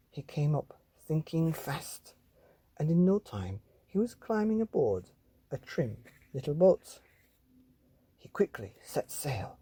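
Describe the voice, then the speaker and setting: A man with a very dark, mellow and slightly husky voice reads a bedtime story to children. His pitch swoops expressively as he draws out key phrases for young listeners. He speaks like a storytelling host, addressing the children directly. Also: dry recording.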